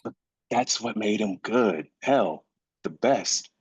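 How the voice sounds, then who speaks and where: A man's voice: lowish voice, lively intonation, slow, slightly rough, dry recording, exaggerated voice. A man playing a weary, grieving husband and father in a screenplay reading, insistent yet supportive. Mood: gently encouraging. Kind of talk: live staged screenplay reading. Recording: dull, lo-fi sound.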